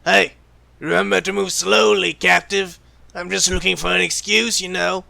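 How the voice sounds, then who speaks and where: Casting: male actor as sardonic secret agent, high-pitched for a man, heavy vocal fry, flippant and teasing, audio roleplay. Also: little pitch movement, normal speed, pushed and bright, dry recording, heavily acted. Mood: taunting. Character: callous, sarcastic male secret agent who jokes about killing. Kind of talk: ASMR roleplay acting.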